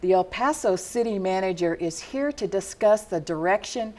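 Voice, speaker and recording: A woman hosting a studio TV interview, who often backs up her guest with soft murmurs of agreement. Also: mid-range voice, lively intonation, moderate pace. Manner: warm, frequent affirming murmurs. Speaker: TV talk show host.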